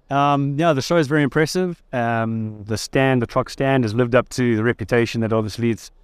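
Smooth-voiced South African man, easygoing. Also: medium pitch, unhurried, pauses often, dry recording, studio quality. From South Africa (Durban). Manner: easygoing, thoughtful, lightly joking. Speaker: guest. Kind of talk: conversational interview. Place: trade fair stand.

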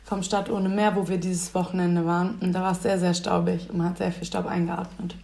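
A woman in her twenties, a student guesting on a podcast, chatting playfully with a slightly rough voice. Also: fairly low pitch, level tone, brisk, darkish tone. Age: 20s. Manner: playful, teasing. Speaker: podcast guest, host's sister. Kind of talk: casual chat.

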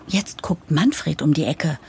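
A woman's voice: low-pitched, slow pace, darkish tone, breathy edge, heavily acted. A woman playing a harried, idealistic new managing director who narrates an audio drama in a wry, confiding way. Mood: wry, weary. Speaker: narrator and lead character.

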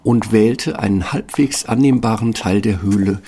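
A man narrating a scripted fairy tale as a storyteller, with a gravelly, husky voice. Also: on the low side, moderate pace, dark tone.

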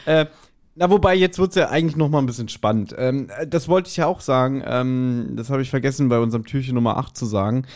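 A man's voice: mid-range voice, fast, darkish tone. A man co-hosting a podcast, chatting casually in a wry, jokey and blunt way about an audio drama. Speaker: podcast co-host.

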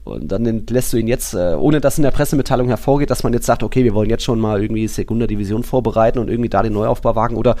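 A man with a medium-pitched voice hosts a casual football podcast. He recaps in a chatty, loosely structured way, dropping in asides as he goes. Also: dark tone. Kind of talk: casual sports podcast discussion.

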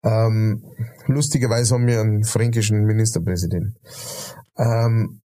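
A man with a Bavarian accent talks loosely on a podcast, his voice mellow and a little dark. He wanders through his points with plenty of hesitations and self-corrections. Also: on the low side, fairly even intonation, normal speed. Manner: rambling, many fillers and restarts. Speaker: podcast co-host. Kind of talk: casual chat.

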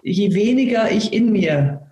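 Sanfte, nachdenkliche Frau, halliger Raum. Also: female voice, medium pitch, lively intonation, reverberant. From Germany. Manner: thoughtful, searching, soft-spoken with many pauses. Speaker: actress and co-host. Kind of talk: reflective conversation.

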